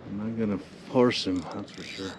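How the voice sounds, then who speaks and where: A man's voice: fairly low pitch, fairly even intonation, normal speed. A man fishing from a boat and making laid-back remarks, picked up from a distance by the camera mic. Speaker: fishing guest. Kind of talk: casual chat. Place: outdoors on a boat on a lake. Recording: distant outdoor mic with background noise.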